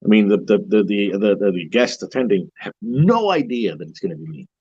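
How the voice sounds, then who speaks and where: A man with a deep voice, a horror-themed wedding officiant, chatting casually and confidently as an interview guest, with frequent restarts. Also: very animated, a little fast, a little mellow, slightly rough, dry recording, highs cut off. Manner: rambling, self-assured, many restarts.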